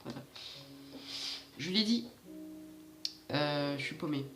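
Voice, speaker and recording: A man's voice: on the high side, lively intonation, slow pace, fairly bright tone, roomy sound, faint music behind, low-quality recording. A man giving casual, rambling let's-play commentary straight to his viewers, with a stuffy nose from hay fever. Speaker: gaming channel host.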